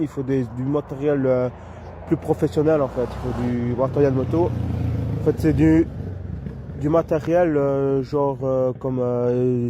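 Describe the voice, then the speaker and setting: A man's voice: mid-range voice, dark tone. A man with a Belgian French accent chatting casually as a radio hobbyist, explaining things in a rambling way.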